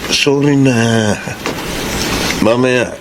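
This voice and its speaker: An actor playing a distracted workaholic boyfriend in a radio drama, placating and a little impatient. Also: male voice, medium pitch, drawn-out words, background noise. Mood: apologetic, placating.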